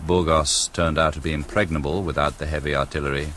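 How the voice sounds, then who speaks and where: An actor in a radio drama playing a grave British army commander, formally dictating a dispatch in a measured, stiff manner. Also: male voice, very deep voice, fairly even intonation, a bit bright, a little hiss or hum. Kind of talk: BBC radio drama acting.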